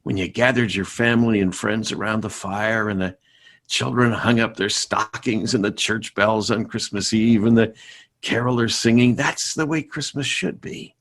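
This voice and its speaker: A man's voice: lowish voice, fairly even intonation, moderate pace, a bit bright. A man playing an exasperated middle-aged father in a radio sitcom, American, stammering with sarcastic indignation. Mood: wistful indignation. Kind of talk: live radio sitcom acting.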